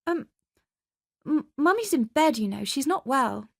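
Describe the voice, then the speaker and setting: Woman acting a sly, coaxing older gentleman. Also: high voice, sing-song, a little slow, creaky, no room sound, studio quality, pitched above the performer's own voice, exaggerated voice. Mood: flushed, eager.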